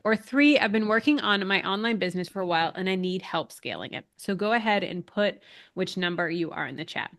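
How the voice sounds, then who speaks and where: A woman's voice: mid-range voice, expressive, brisk, fairly bright tone. A chatty, upbeat woman in her thirties hosts a live online class and greets viewers by name. A lingering cold leaves her a little nasal, with a dry throat and some shortness of breath. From Massachusetts. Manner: chatty, upbeat, reads out chat names. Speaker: online business coach hosting a live class. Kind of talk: casual live webinar talk.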